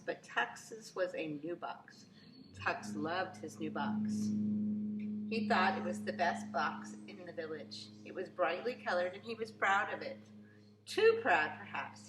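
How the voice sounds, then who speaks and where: A woman reads a children's story aloud like a preschool teacher, with expressive, emphatic, theatrical phrasing. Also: fairly low pitch. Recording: echoey room, faint background noise.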